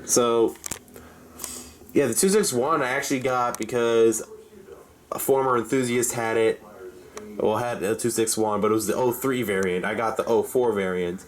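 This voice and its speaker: A man's voice: medium pitch, moderate pace. A man giving a casual, rambling tour of a hobby collection, explaining things informally as he goes. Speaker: hobbyist presenting a radio collection.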